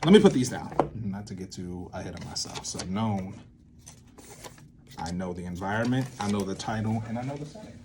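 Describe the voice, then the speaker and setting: Young man, lowish voice, casual halting think-aloud explainer, faint noise behind. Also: expressive. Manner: halting, thinking aloud with repeats and self-checks. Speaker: creator teaching a creative method.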